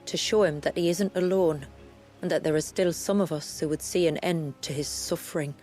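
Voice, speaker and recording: An actress playing a steadfast village elder and guide in a video game, speaking in formal, measured, archaic phrases. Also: female voice, deep voice, a little mellow, faint music behind, natural voice. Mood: solemn. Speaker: village elder guiding travelers. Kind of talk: video game cutscene acting.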